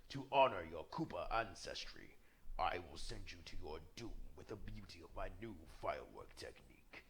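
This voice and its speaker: A man's voice: sits low, big pitch swings, normal speed, gravelly, a bit bright, slight background noise, heavily acted. A man voicing a proud, solemn panda martial-arts villain from a video game, speaking formally and threateningly. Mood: stern threat.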